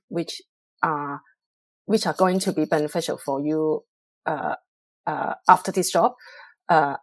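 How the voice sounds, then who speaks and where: A middle-aged woman from Hong Kong, a public relations professional, answering interview questions in a measured, reflective way with frequent pauses and fillers. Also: sits low, a little mellow, no echo.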